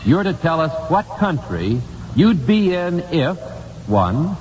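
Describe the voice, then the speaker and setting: An American man hosting a live 1950s radio quiz, putting questions deliberately and repeating key phrases for emphasis on an old broadcast recording. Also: a little high, big pitch swings, darkish tone. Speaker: quiz show host. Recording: old radio broadcast, hall reverb, hiss.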